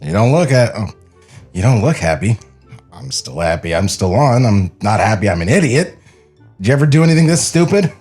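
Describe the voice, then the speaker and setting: A man's voice: lowish voice, sing-song, brisk, darkish tone, husky, soft background music. A man playing a nervous, sheepish young studio artist in a comedy table read, stammering and apologetic. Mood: self-mocking.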